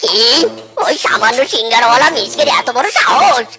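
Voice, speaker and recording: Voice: medium pitch, big pitch swings, normal speed, lightly acted. A performer voicing a furious, threatening animal father in a children's cartoon, with music behind. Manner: blustering, threatening.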